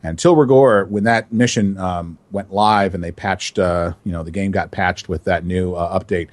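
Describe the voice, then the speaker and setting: Man, voice actor, lively talk, lowish voice, dry close sound. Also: moderate pace, no room sound. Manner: animated, chatty, laughs easily. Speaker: voice actor, guest. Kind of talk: casual interview answers.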